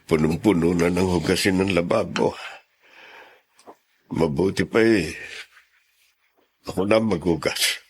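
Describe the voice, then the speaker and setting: A low-voiced, meek Filipino father. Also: a little slow, pauses often, a little hiss or hum. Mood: weary, resolute. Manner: hesitant, apologetic. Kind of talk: radio drama acting.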